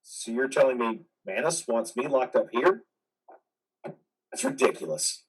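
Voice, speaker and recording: A man's voice: medium pitch, animated, measured pace, slightly rough, distant mic. A man playing a troubled, defiant war veteran in psychiatric care, earnest and naturalistic in a dramatic play reading. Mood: defiant, angry.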